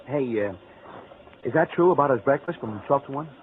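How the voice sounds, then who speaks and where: A man's voice: medium pitch, animated, normal speed, natural voice. Noisy old-radio actor as a curious male coworker. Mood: joking. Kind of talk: 1940s radio acting. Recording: noisy, tinny 1940s radio recording.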